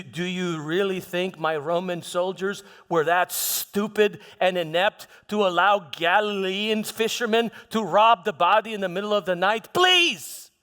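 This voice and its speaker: A man's voice: high-pitched, pushed and bright. A man playing a troubled Roman governor in a theatrical monologue, stammering and defensive as he justifies himself. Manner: halting, stammering, self-justifying, emphatic. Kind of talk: theatrical church monologue, first-person dramatization. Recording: long reverberant echo of a hall.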